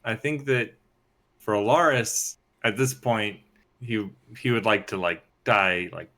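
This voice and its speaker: A man's voice: fairly low pitch, a little slow, bright, forceful tone. A man talking casually and reflectively, in an informal, tentative manner. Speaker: podcast regular, tabletop role-playing player.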